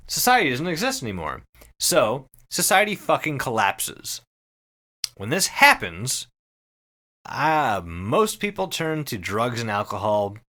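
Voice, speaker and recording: Bright, animated man bantering casually. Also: medium pitch. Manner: animated, emphatic, profane. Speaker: podcast host. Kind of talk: casual comedic chat, retelling and discussing a story.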